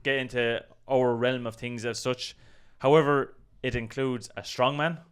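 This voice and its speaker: A man's voice: mid-range voice, steady tone, measured pace, clean tone. A man with an Irish accent co-hosting a casual discussion, conversational and full of hedging asides. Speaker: co-host of a video channel. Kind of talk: casual discussion of an online controversy.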